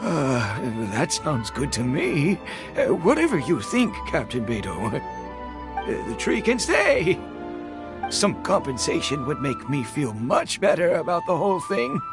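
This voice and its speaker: A grumbling older village man from a video game, plaintive and full of sighs. He talks slowly in a highish, swooping voice, with faint music underneath. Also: huge pitch swings. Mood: reluctant, conceding. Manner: sighing, hesitant, plaintive. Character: aggrieved, grumbling older village father, easily worked up.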